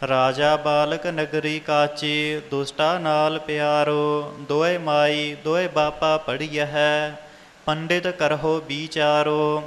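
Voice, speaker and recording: Man chanting scripture slowly in reverberant hall. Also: a little high, little pitch movement, a bit bright. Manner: slow, measured chanting. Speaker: student reciting Sikh scripture. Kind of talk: sing-song devotional scripture recitation. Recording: long hall reverb, music behind.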